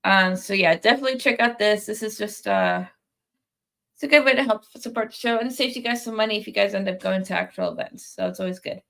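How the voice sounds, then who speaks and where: A young woman hosts a live post-game fan stream while fighting off a cold. Her voice is nasal and stuffed up, with a gravelly fry at the bottom of her phrases. She rambles casually, full of ums and restarts, at an ordinary middle pitch. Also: fast talker, a bit bright. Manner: rambling, many ums and restarts, reads viewer comments. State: sick, congested. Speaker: podcast host.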